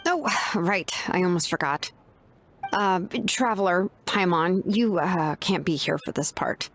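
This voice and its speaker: A woman's voice: lowish voice, animated, a little slow. A woman playing a laid-back, sincere young craftswoman in an anime game, speaking in a casual, frank way with slight hesitation. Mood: casual, awkward.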